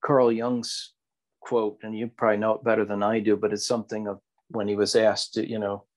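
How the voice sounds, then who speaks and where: A man co-hosting a podcast in casual, reflective discussion, halting as he searches for words. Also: on the low side, flat delivery. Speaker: podcast co-host.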